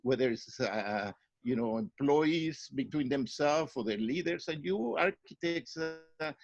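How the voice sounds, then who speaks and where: Middle-aged Mexican-accented male guest, earnest, halting delivery; dry, lo-fi recording. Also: a little high, expressive, no room sound, faint music behind. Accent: Mexican Spanish. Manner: earnest, searching for words, repeats himself. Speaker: psychologist guest. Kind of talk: interview answers. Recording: dry, dull lo-fi sound.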